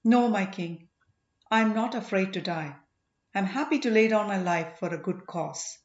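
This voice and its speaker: A woman's voice: medium pitch, moderate pace, echoey room, muffled recording, natural voice. A woman voicing a loyal, earnest male boatman in a storybook, pleading respectfully.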